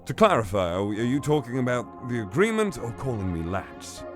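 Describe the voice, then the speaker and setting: A man's voice: medium pitch, very animated, fairly quick, music in the background, close to an everyday voice. A man playing a slippery master thief in an audio drama, glib, sarcastic and flippant under threat. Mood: glib bargaining.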